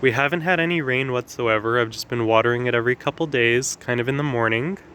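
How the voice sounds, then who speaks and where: A man with a slightly raspy voice from allergies, talking casually and explaining things with lots of fillers as he walks through his garden. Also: medium pitch, fast talker, bright, forceful tone, a little hiss or hum. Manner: chatty, explanatory, with frequent fillers. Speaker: gardening vlogger. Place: outdoor garden.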